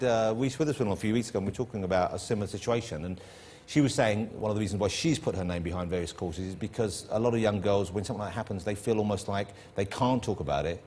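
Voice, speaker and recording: A middle-aged man with a London accent, hosting a TV chat show and teasing his guest in quick, playful banter. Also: lowish voice, darkish tone, slight background noise. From East London. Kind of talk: joking chat show interview. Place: TV studio with audience.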